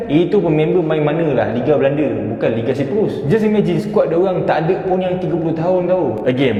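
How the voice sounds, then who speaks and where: A man with a Malaysian accent giving blunt, slangy football commentary, casually mixing in English words. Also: fairly high pitch. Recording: muffled, heavily echoed with long reverb.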